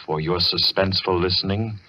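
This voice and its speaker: An actor playing a solemn, ominous storyteller, narrating a radio suspense tale in a dramatic, deliberate manner. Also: male voice, sits low, sharp tone, slight background noise. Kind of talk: old-time radio scripted narration. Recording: muffled vintage radio recording with music.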